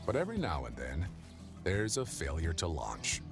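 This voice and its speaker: A man's voice: extremely low pitch, wildly swooping pitch, normal speed. A man narrating a scripted nature documentary clip, with music playing behind his voice. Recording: loud music under voice. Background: loud music.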